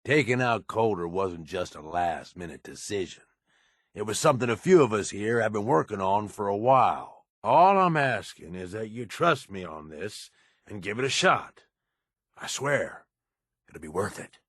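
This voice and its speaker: A man's voice: lowish voice, expressive, moderate pace, fairly bright tone, no room sound, clean studio sound. An actor playing a cunning, blunt raider right-hand man in a video game, with an American accent and a folksy tough-guy manner. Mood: persuasive, scheming. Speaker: companion character in a video game. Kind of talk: video game dialogue acting.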